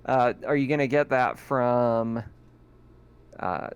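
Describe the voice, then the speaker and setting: A man's voice: medium pitch, slow, clear, resonant tone, dull audio. Laid-back male game master. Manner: relaxed, chuckling often. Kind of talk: running a tabletop game.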